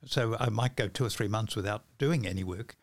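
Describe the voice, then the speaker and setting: An older male podcast guest speaks in a fairly low voice. He talks conversationally, halting and repeating words as he goes. The recording is dry and close. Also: vocal fry, dry recording. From New Zealand. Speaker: podcast guest, magician and author. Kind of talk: casual conversation.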